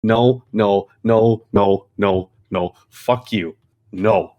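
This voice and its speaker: A man with a fairly low voice chats as a gaming podcast host. He rants in a theatrical, exasperated manner and swears freely. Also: steady tone, extremely slow, lo-fi sound. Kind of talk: ranting casual chat.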